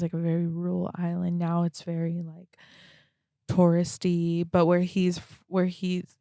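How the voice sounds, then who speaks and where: A young woman talking reflectively and casually as a podcast guest, halting often and peppering her speech with "like". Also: on the low side, creaky, dark tone, no echo, studio quality. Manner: halting, frequent "like" as verbal filler.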